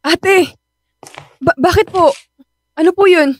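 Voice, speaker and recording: An actress playing a timid, nervous younger sister in a Filipino radio drama, hesitant and stammering. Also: female voice, extremely high pitch, slow. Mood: scared, hesitant.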